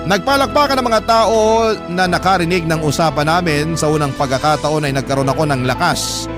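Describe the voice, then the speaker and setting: A man reading a first-person love story as a radio host, in a measured, expressive, dramatic storytelling style. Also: on the high side. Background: music.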